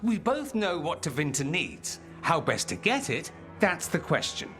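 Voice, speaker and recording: A man's voice: a little high, very animated, a little slow, fairly bright tone. A man playing a brash, eloquent rebel mage in a fantasy game, theatrical, articulate and arch. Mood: sardonic, insistent. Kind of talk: dramatic fantasy game acting. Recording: music under the voice.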